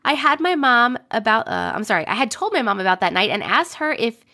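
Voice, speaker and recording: A young adult woman live streaming, chatting with viewers and reading stories, her voice a little rough from a cold. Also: fairly high pitch, wildly swooping pitch, a little fast, sharp tone. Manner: chatty, greets viewers by name between sentences. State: voice a bit rough from getting over a cold. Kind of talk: casual live stream chat and story reading.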